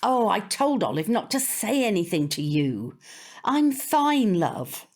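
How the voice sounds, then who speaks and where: Casting: older British grandmother, fussy, proud and stubbornly independent, very animated intonation, audio drama. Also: mid-range voice, heavily acted. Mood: putting on cheer. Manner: fussy, complaining, brisk.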